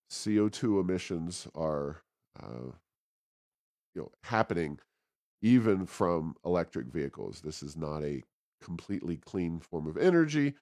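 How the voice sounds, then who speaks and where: Low-pitched man, halting policy commentary. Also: darkish tone, dry recording, clean studio sound. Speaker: podcast host. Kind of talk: political policy commentary in conversation.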